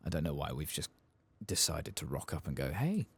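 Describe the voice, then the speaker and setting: A man doing soft-spoken, casual playthrough commentary as an ASMR gaming streamer, reading aloud and muttering asides. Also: rumbling low, big pitch swings, fairly quick, breathy edge.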